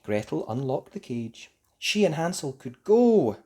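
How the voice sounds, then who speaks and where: A storyteller reading a children's fairy tale aloud in an expressive, emphatic, theatrical way for young listeners. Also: medium pitch, a little slow. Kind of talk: expressive scripted storybook narration.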